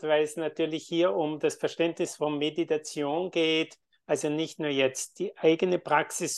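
A man who teaches meditation and yoga, answering interview questions in a calm, thoughtful way with frequent fillers. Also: fairly high pitch, creaky. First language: German.

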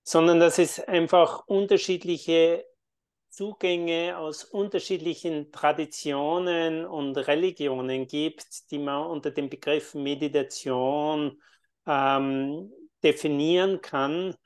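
A man who teaches meditation and yoga, answering interview questions in a calm, thoughtful way with frequent fillers. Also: on the high side, slow, smooth. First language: German.

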